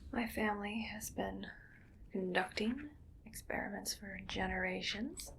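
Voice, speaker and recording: A woman playing an eerily calm, gleefully morbid mad scientist in an ASMR roleplay, speaking in a hushed, breathy, musing whisper. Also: mid-range voice, a little hiss or hum, poor audio. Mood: quietly proud. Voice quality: breathy whisper with soft creaky voicing. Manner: slow, hushed, musing to herself.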